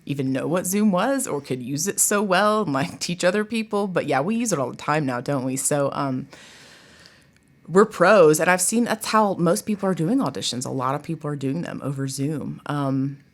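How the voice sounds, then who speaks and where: A woman's voice: deep voice, moderate pace. A woman talking casually and enthusiastically as an interview guest, in a chatty way. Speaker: guest, college theatre director.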